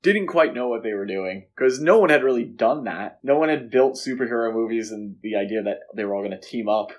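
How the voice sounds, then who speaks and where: A man's voice: medium pitch, animated, a little fast. A man co-hosting a podcast, discussing films in a casual, conversational and opinionated way. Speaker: podcast co-host.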